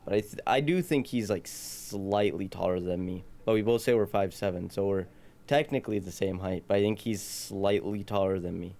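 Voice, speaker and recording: Young man, eighteen, casual podcast chat, rambling, gravelly, lowish voice. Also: normal speed, darkish tone. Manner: rambling, many "like" fillers and restarts. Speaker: podcast co-host.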